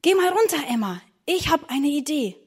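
A woman voicing a cheerful young schoolboy who talks in a lively, confident, childlike way. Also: high-pitched, moderate pace, voice pushed up. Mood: excited.

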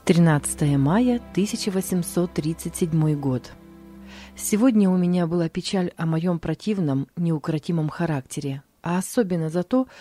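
A woman calmly reading aloud from a religious diary. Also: low voice. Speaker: narrator.

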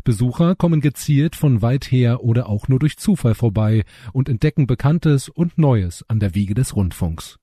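Male news presenter, scripted read, very mellow dark tone with a husky grain. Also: mid-range voice, expressive, normal speed, hardly any pauses, no room sound, studio quality.

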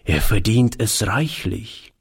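Man as a gentle, slow-spoken old grandfather. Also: medium pitch, sing-song, husky, full character voice. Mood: earnest, resolute. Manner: mild, halting, earnest. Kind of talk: audiobook character voice.

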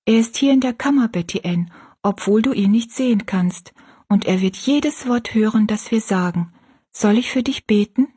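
A woman voicing a pious young girl in a story, explaining things gently and earnestly. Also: a little high, moderate pace, breathy edge, voice pushed up. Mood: gently urging.

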